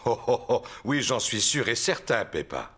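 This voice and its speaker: Swooping, jolly cartoon daddy pig. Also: male voice, medium pitch, very animated, normal speed, fairly bright tone, slightly rough. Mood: jovial. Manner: hearty chuckles, patient explaining. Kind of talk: French children's cartoon dub.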